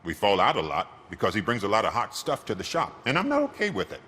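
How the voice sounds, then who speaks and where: An actor playing a shifty, grumbling car repair shop owner in a video game, raspy, wry and defensive. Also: male voice, lowish voice, wildly swooping pitch, fast talker, sharp tone, slight background noise, exaggerated voice. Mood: resigned, confiding. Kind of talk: video game cutscene acting. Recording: long hall-like reverb.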